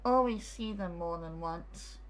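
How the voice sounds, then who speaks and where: A woman giving casual, unscripted commentary as a game streamer, in a halting way. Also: on the low side, rough recording.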